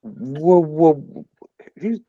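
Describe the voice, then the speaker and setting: A man's voice: a little high, very slow. A man playing a tabletop roleplay game, bantering in and out of character, on a slightly dull recording.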